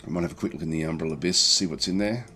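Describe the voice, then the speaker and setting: A man with a croaky, recovering voice giving casual, halting livestream commentary as he plays a strategy game and chats with viewers. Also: low voice, pushed and bright. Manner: halting, thinking aloud. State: croaky throat, recovering from feeling unwell. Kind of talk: casual game commentary, reading and answering chat.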